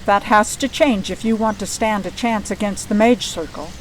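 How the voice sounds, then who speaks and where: A woman's voice: mid-range voice, normal speed, slight background noise, lightly acted, low-quality recording. An actress playing a firm, commanding middle-aged mother and mage mentor who speaks decisively and does not bend.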